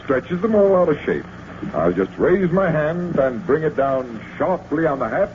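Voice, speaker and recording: An actor playing an unruffled, suave stage magician detective with calm, teasing showman's patter, in vintage radio drama style. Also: fairly high pitch, measured pace. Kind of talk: 1940s radio drama acting. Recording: tinny, noisy old radio recording.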